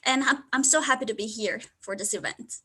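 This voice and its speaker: Bright high-voiced woman from Turkey. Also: fairly quick, slightly rough. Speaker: cross-cultural dialogue facilitator.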